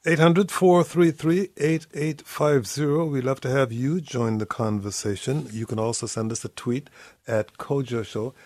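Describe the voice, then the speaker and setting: A man hosting a radio call-in show, reading questions and phone numbers in a measured way. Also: mid-range voice, a little mellow, dry recording. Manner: measured, gives clear announcements. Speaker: radio talk show host. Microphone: studio mic.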